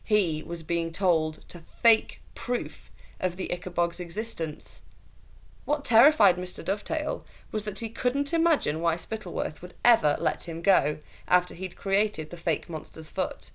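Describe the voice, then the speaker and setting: A young woman with a slightly low voice reads a children's story aloud. Her narration is expressive, and she is recorded at desk distance in a small room. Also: a little slow. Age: young adult. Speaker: teacher reading a story aloud. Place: small plain room indoors.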